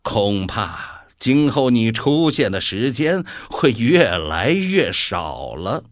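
An actor playing a sardonic, world-weary devil in an audio drama, musing deliberately with sighs. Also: male voice, lowish voice, very animated, dry recording, clean studio sound. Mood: cold, admonishing.

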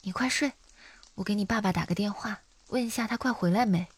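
A woman playing a gentle young mother of small children in a radio drama. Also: medium pitch, a little fast, heavy background noise, close to an everyday voice. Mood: hushed, caring. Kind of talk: Chinese audio drama acting.

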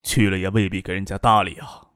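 A man voicing a reflective, resigned middle-aged man in an audiobook, speaking slowly with a sighing manner. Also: flat delivery, a bit bright, dry recording, studio quality. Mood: weary resignation. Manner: low, slow, sighing.

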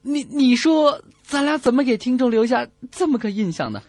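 Animated, husky female radio comedy host. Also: high voice, moderate pace, dry recording, studio quality. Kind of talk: scripted comic banter between radio hosts.

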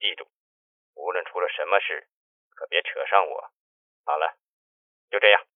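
A man voicing a grave, secretive sworn brother giving hushed, urgent warnings through a telephone filter in an audiobook. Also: sits low, moderate pace, no echo. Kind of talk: audiobook character dialogue. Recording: narrow tinny phone-line sound.

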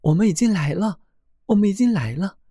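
Little forest flowers singing back, done by a man, high and bright, chiming, storybook figure. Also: lively intonation, brisk, husky, no echo, clean studio sound, exaggerated voice. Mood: cheerful. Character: chorus of small forest flowers and creatures.

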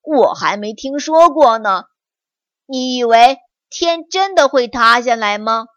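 A woman voicing a big, easily frightened male tiger in a children's story, speaking loudly and earnestly. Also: on the high side, expressive, slow, no echo.

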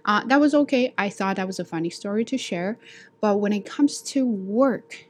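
A woman's voice: mid-range voice, expressive, normal speed, a little hiss or hum. A young woman from China with a Chinese accent, an English teacher casually recounting her experiences with many restarts and fillers. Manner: frequent restarts and filler words, talking through experiences. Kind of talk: casual personal storytelling.

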